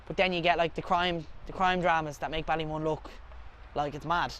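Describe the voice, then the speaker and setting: A man's voice: fairly high pitch, steady tone, a little fast, a bit bright, a little hiss or hum. A young man with a Dublin accent, presenting a television feature and talking emphatically. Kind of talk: documentary presenting and interview.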